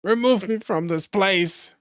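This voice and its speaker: A hoarse streamer puts on an old miser at the end of his rope, pleading and crying out in a storybook reading. The voice is slow and dry, close to the microphone. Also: mid-range voice, a bit bright, no echo, voice pushed up. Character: old male miser in despair.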